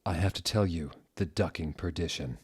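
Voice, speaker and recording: A man narrating fan fiction as a theatrical dramatic reading, with deliberate, mocking delivery. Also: low-pitched, breathy edge.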